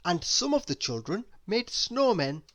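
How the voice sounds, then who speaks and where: A man reading a children's story aloud in a deliberate, expressive way, leaning on key words. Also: high-pitched. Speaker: storybook reader.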